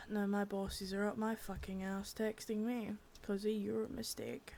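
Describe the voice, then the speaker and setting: A young woman doing an ASMR roleplay as a cold, contemptuous female boss of a drug ring, menacing and swearing, soft-spoken with bits of whisper. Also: medium pitch, level tone, measured pace, creaky, a little hiss or hum. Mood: cold contempt. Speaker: ASMR creator.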